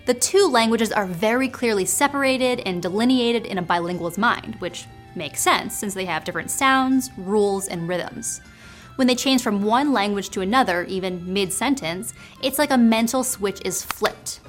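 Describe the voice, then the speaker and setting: A woman's voice: mid-range voice, moderate pace, faint music behind. A woman hosting a science show, narrating in a scripted, explanatory way. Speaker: host.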